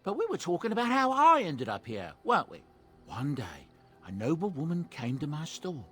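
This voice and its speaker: A man's voice: on the high side, wildly swooping pitch, moderate pace, pushed and bright, breathy edge, heavily acted. A man voicing a genial older dwarf merchant in a fantasy game, telling stories in a courteous, theatrical, earnest way. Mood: wistful storytelling. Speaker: travelling merchant. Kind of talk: video game character dialogue, storytelling.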